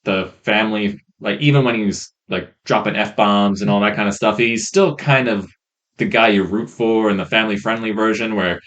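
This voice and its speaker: A man co-hosting a podcast in casual, opinionated chat, halting as he talks, on a rough, faulty microphone. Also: lowish voice, fairly even intonation, fairly bright tone, dull audio. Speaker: podcast co-host.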